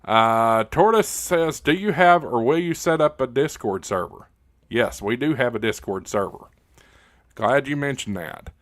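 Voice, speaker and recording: A man's voice: mid-range voice, animated, measured pace, a bit bright, no echo, studio quality. An American man hosting a livestream, chatting casually in an informal, folksy, joking way as he talks to viewers. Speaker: livestream host. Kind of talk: casual livestream chat reading viewer comments.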